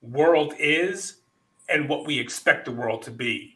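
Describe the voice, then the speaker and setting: A man's voice: a little high, slow pace, bright, forceful tone. A man in his fifties hosting a casual podcast chat, rambling and profane, with lots of fillers. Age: 50s. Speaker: podcast host.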